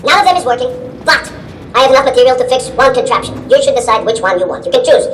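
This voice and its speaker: An actor playing an excitable, kindly elderly scientist, fussy and halting in a video game. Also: male voice, very high-pitched, a little hiss or hum, poor audio. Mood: eager, fussy.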